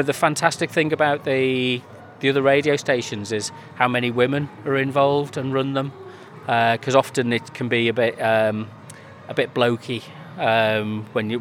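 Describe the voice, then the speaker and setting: A man's voice: medium pitch, little pitch movement, moderate pace. Man, community media organiser, chatty, hesitant, outdoors in a square, faint noise. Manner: chatty, hesitant, many fillers and restarts. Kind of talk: casual interview answers.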